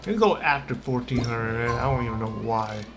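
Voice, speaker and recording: A man's voice: mid-range voice, moderate pace. A man chatting casually on a gaming livestream, rambling and slangy as he talks to himself. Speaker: live streamer. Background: game music.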